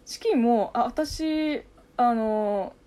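A young woman, a native Chinese speaker, chatting casually with a friend, talkative, animated and quick to laugh. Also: fairly high pitch, clean tone. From China. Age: young adult. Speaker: radio talk host, amateur voice actor. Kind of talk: casual chat between friends.

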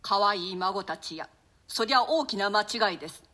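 A woman's voice: medium pitch, lively intonation, moderate pace, bright, forceful tone. A woman acting a stern, grieving old duchess and grandmother in a classic stage play, speaking in a theatrical, archaic manner. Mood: gentle scolding. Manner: lamenting, theatrical, admonishing.

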